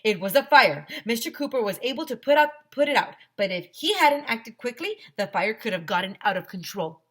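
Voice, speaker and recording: A woman's voice: on the high side, big pitch swings, a little fast, voice pushed up. An emphatic school principal read by a woman addresses an assembly in a storybook. He declaims his announcements with weighty stress. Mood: serious, announcing.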